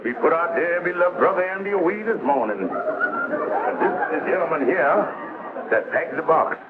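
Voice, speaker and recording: Vintage sitcom con man: highish, lively pitch, flowery mock-solemn delivery. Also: normal speed. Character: scheming, smooth-talking male lodge leader feigning solemn grief. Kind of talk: 1950s television sitcom acting. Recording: scratchy, noisy, narrow-band vintage TV soundtrack.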